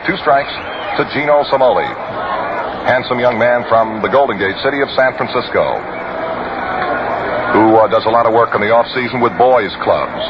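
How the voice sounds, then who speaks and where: Male American baseball play-by-play announcer, 1960s radio, measured and descriptive, dull lo-fi sound under heavy noise. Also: mid-range voice. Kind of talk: live 1960s radio sports commentary. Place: outdoor ballpark broadcast. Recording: noisy, band-limited vintage 1960 radio broadcast.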